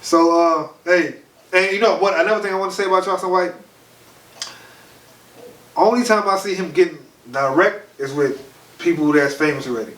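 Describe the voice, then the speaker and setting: Podcast co-host, man, congested, relaxed and talkative, slightly raised pitch, roomy echo from a distant mic. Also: normal speed, long pauses. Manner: laid-back, chatty, frequent "you feel what I'm saying". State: stuffed-up nose. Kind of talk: casual podcast chat. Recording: echoey room, mic at a distance, faint noise.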